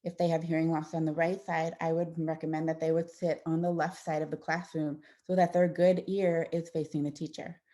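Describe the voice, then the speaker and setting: Woman audiologist, thirties, low mellow voice, easygoing slide-talk delivery with fillers and restarts. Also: level tone, a little fast, few pauses. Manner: conversational, warm explanations with frequent fillers and restarts. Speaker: audiologist presenting to parents. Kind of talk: informal presentation with slides.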